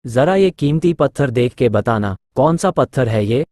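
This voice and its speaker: Naive cartoon priest husband male actor, gentle simple manner, medium pitch, no room sound. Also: expressive, normal speed, no echo, studio quality, lightly acted. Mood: trusting. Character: naive, forgetful, mild village priest husband, a man. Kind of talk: Hindi cartoon story dialogue.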